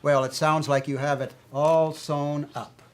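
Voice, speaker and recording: Man acting a courteous, higher-voiced business negotiator. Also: level tone, unhurried, lightly acted. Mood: agreeable. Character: courteous, approving male business negotiator, slightly hesitant. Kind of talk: staged play reading.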